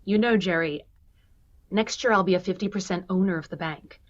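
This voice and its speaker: A woman's voice: on the low side, fairly quick, lo-fi sound, soft background music, lightly acted. A woman playing an earnest, unsure heiress in an online table read of a stage musical, recorded over a video call. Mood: unsure, wistful. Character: earnest, unsure adult banker's daughter.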